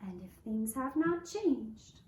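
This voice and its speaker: Very slow, high-voiced woman storyteller. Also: sing-song, noisy background. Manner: measured, deliberate phrasing with pauses. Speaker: storyteller and puppeteer. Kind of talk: slow, gentle children's storytelling for a puppet show. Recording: roomy, echoing indoor sound.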